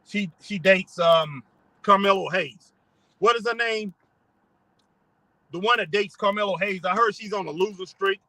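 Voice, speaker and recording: A man's voice: sits high, unhurried, long pauses, bright, forceful tone, no room sound. A man hosting a casual live stream from his car, rambling and chatting with viewers as he reads their comments.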